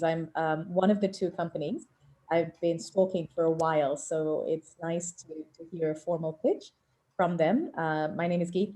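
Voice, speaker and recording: Woman from southern Africa, investor on a call; low, mellow voice; measured delivery. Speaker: angel investor on a pitch panel. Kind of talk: self-introduction on an online panel.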